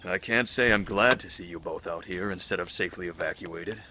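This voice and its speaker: A man playing a cautious, measured doctor who leads a group of apocalypse survivors in an audio drama. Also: low-pitched, background noise, natural voice. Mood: polite, guarded. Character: weary, cautious doctor leading survivors, formal and polite. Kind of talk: fan audio drama dub acting.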